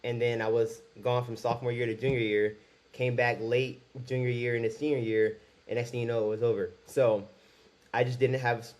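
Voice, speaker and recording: A man's voice: mid-range voice, level tone, normal speed, darkish tone. Candid young man talking to camera. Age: 20s. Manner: candid, self-conscious, rambling with restarts. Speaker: YouTuber. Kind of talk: casual talk to camera.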